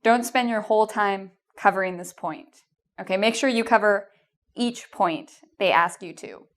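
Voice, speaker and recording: A woman's voice: medium pitch, moderate pace. Encouraging Canadian woman teaching. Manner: encouraging, with frequent "um" and "okay?". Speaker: English teacher. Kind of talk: video lesson with tips.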